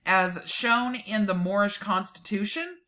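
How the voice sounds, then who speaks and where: Bright-voiced woman reading slowly and dramatically. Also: medium pitch. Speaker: presenter reading a document aloud with asides. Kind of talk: dramatic reading with casual mocking asides.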